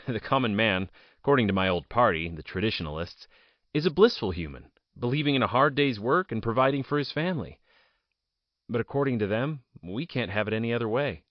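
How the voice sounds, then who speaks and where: A man playing a proud, bitter ousted politician in an audio drama, telling his story in a deliberate, theatrical monologue with dramatic pauses. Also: medium pitch, expressive, dry recording, muffled recording, close to an everyday voice. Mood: haughty, sardonic.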